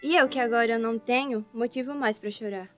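A woman's voice: on the high side, a little fast, a bit bright, faint music behind, lightly acted. A woman playing a distraught, pleading, melodramatic young wife in a radio soap. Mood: tearful.

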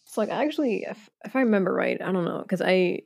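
A woman's voice: medium pitch, animated, quick pace, creaky, a little mellow, no room sound, clean studio sound. A young woman hosting a podcast in casual chat, hesitant and giggly, with lots of fillers. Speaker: podcast host.

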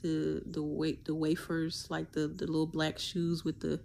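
A woman hosting her own podcast, talking in a hesitant, preachy way about faith, with many pauses and restarts and a deep voice. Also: monotone, gravelly, warm tone, a little hiss or hum. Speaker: podcast host. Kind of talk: casual spiritual monologue.

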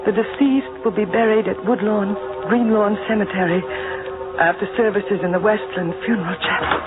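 An actress playing a frightened, suspicious wife in an old radio horror drama, halting and melodramatic. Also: female voice, medium pitch, over loud music, close to an everyday voice. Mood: haunted, grave. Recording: noisy, narrow-band old radio recording.

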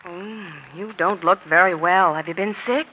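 A woman's voice: lowish voice, moderate pace, slight background noise, natural voice. A woman playing a savvy, chatty American newspaper reporter who feigns casual innocence in a 1940s radio crime drama. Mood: feigned casual. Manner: breezy, chatty, slyly casual. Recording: dull, lo-fi old radio recording.

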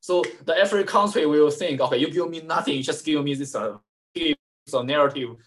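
A man's voice: on the high side, fairly quick, a bit bright, slight background noise. Hesitant man with frequent restarts. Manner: hesitant, many fillers and restarts. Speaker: interview guest, analyst. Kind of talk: conversational interview answers.

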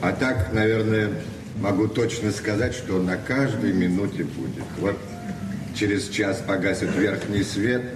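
An actor playing a dry, world-weary, ironic male party guest in a Soviet radio play, with a measured deadpan delivery. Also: lowish voice, natural voice. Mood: wry, detached. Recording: roomy, distant, noisy 1970s radio recording.

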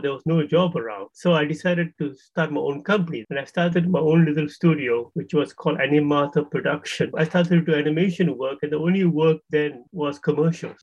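A middle-aged man from Singapore, a guest telling his career story in relaxed, conversational interview talk. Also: on the high side, dull audio. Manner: conversational, with small restarts and soft laughs. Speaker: guest, animation creative director.

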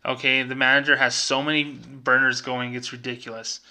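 A man's voice: medium pitch, flat delivery, normal speed, pushed and bright. A middle-aged man speaking as a vlogger, telling a personal story in a casual, conversational way and acting out remembered dialogue.

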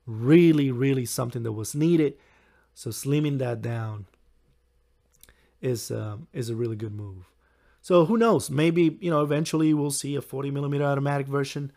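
A young man with a hoarse, recovering voice, hosting a solo podcast in a rambling, halting way with frequent chuckles. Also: medium pitch, dark tone. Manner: chuckles often. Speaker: podcast host. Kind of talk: casual solo podcast talk.

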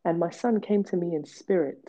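A woman's voice: lowish voice, moderate pace, warm tone. A woman, hosting a podcast and telling her own story bluntly and emphatically. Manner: emphatic, blunt, repetitive for stress. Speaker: podcast host. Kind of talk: candid personal storytelling. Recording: dull lo-fi podcast audio.

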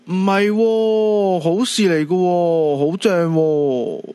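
A man's voice: high voice, takes it slowly, warm tone, smooth, no echo. A man with a Hong Kong Cantonese accent playing a cheeky, upbeat young son in a radio drama, probing and matter-of-fact.

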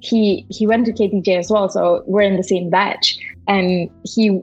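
Young Indonesian woman, slightly husky guest. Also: medium pitch, fairly even intonation, normal speed, darkish tone, lo-fi sound, soft background music. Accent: Indonesian with a bit of Malaysian. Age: 20s. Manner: chatty, many fillers and restarts, laughs often. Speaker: podcast guest, university student. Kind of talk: casual interview chat.

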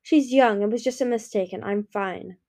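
A woman voicing a kind, earnest young female dragon who tries to calm others. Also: a little high, moderate pace, lightly acted.

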